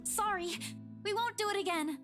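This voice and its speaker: A woman's voice: very high voice, moderate pace, fairly bright tone, soft background music, pitched above the performer's own voice. A woman voicing an evasive young woman in a visual novel, brushing off concern in an apologetic, dismissive way.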